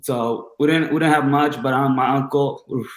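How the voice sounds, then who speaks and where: A man's voice: medium pitch, steady tone, moderate pace. Roomy-sounding young man from Bangladesh, casual. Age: 20s. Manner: informal, slangy, enthusiastic. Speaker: podcast guest. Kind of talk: casual personal storytelling.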